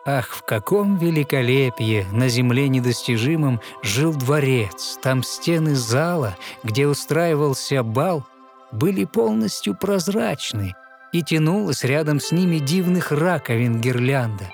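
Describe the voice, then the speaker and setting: A man's voice: medium pitch, animated, a little slow, a little mellow. A man with a mid-range voice narrates a fairy tale in verse. His delivery is scripted, rhythmic storytelling with expression. Faint music plays behind him.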